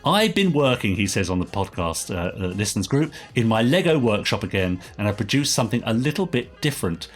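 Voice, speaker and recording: A man's voice: fairly low pitch, sing-song, fairly quick, few pauses, husky. A British man co-hosting a podcast, reading out listener messages in a chatty, enthusiastic way. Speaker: podcast co-host.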